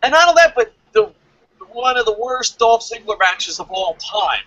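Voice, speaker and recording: A man giving excitable, casual fan commentary as co-host of a review show, rambling and repeating himself. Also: very high voice, moderate pace, no room sound, highs cut off.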